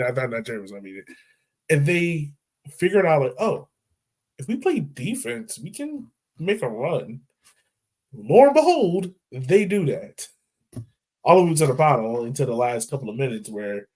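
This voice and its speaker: A man co-hosting a football podcast at a mid-range pitch, chatting loosely. He rambles, doubles back to fix what he just said, and breaks into laughs as he goes. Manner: rambling, self-correcting, laughs easily. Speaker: podcast co-host. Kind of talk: casual sports chat.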